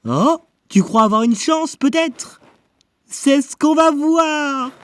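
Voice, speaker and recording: Guy doing a cocky euphoric anime sorcerer, pretty high voice, dry close sound, full of himself. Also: expressive, slow pace, a bit bright, no room sound, exaggerated voice. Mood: manic, taunting. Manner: theatrical, taunting, self-satisfied. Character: cocky, euphoric, godlike young male sorcerer. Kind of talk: French anime fan dub acting.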